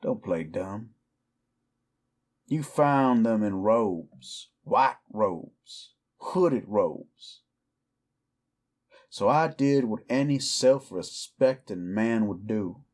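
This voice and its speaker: An actor playing a weary, defiant cowboy outlaw werewolf in an audio roleplay, with a folksy, brooding American Southern or Western drawl. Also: male voice, fairly low pitch, expressive, extremely slow, darkish tone, heavily acted. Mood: bitter, defiant. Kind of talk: slow dramatic roleplay monologue to the listener.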